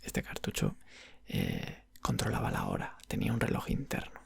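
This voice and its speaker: Man playing a patient male game-shop clerk for ASMR roleplay, Spain accent, very breathy half-whisper with heavy creak. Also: a bass voice, wildly swooping pitch, no room sound, exaggerated voice. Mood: calm, explanatory. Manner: unhurried, murmuring, hesitant phrasing. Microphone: close microphone.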